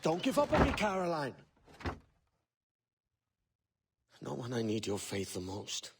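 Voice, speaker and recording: Young roguish sailor, medium pitch. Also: male voice, wildly swooping pitch, fairly quick, slight background noise. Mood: pleading. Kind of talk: video game cutscene acting.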